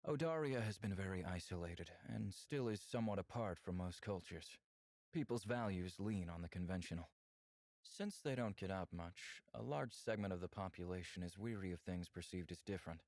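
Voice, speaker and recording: Very deep, reserved male alien. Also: normal speed, darkish tone, no echo. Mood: calm, candid. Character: reserved, candid male alien lover from a conservative clan. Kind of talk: visual novel character acting.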